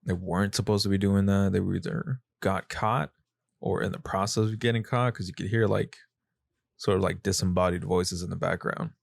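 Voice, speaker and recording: Deep, gravelly, flat-toned man. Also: normal speed. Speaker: podcast co-host. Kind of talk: casual chat, giving impressions.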